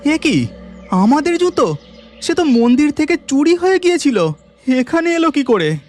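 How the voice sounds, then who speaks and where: A man's voice: extremely high pitch, big pitch swings, normal speed, a little mellow, faint music behind. A man playing a puzzled young husband in a cartoon, questioning and surprised in manner. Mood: astonished. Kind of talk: cartoon voice acting.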